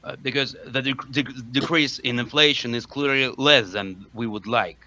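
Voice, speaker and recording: A man with an Eastern European accent asking halting interview questions in stumbling non-native English. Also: medium pitch, pushed and bright, a little hiss or hum. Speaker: prank caller posing as interviewer.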